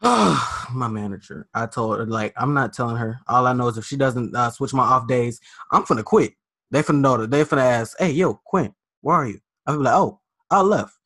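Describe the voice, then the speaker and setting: A young man co-hosting a casual podcast, talking in slangy, rambling, animated bursts. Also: medium pitch, normal speed, dry recording. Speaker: podcast co-host. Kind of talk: casual chat.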